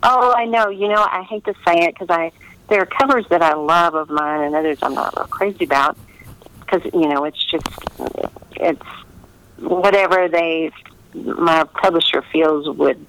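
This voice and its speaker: A woman's voice: on the low side, steady tone, moderate pace, fairly bright tone, rough recording. A woman novelist chatting casually in an interview, talking in a meandering way with trailing asides.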